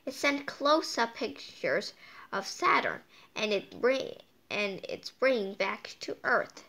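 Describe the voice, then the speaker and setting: A woman hosting a read-aloud storytime, reading a picture book in a halting way with playful, chatty asides. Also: fairly high pitch, expressive, creaky, fairly bright tone. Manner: halting reading, stumbling over words; playful, exclaiming.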